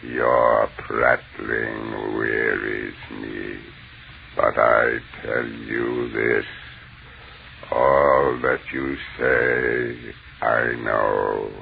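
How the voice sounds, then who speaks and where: An actor playing an ancient, contemptuous, menacing alien mind in old-time radio horror, speaking in slow, pompous pronouncements. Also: male voice, very deep voice, fairly even intonation, vocal fry, full character voice. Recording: muffled, narrow-band old radio broadcast with hiss.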